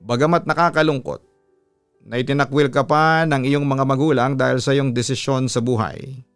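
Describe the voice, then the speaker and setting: A Filipino man narrates like a seasoned radio host, with a smooth, resonant voice in a dry, close sound. His delivery is measured and earnest, as if reading a personal letter and then offering counsel. Soft music plays behind him. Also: mid-range voice, fairly even intonation, dry recording. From Philippines. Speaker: radio announcer and story channel host. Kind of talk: scripted narration of listener letters with advice. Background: background music.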